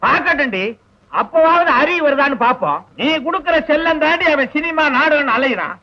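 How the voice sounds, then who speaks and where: A man's voice: very high-pitched, expressive, a little fast. An actor playing a short-tempered, middle-aged father who mocks and scolds his grown son. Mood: scolding. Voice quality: sharp, mocking scolding. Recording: muffled old film soundtrack.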